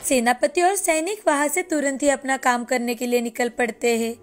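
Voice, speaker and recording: A woman reading scripted narration for an animated children's tale. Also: sits high, moderate pace, faint music behind.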